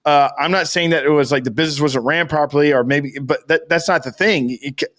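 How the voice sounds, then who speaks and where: American man, slightly high voice, casual podcast chat, emphatic, rambling, slangy, sweary. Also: fairly quick, a bit bright. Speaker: podcast co-host.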